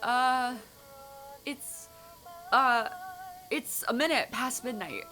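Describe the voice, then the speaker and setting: A woman's voice: a little high, animated, slow pace, over music, poor audio. An actress playing a young, chatty college girl, casual and confiding, in an audio drama. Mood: nervous. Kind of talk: naturalistic audio drama acting.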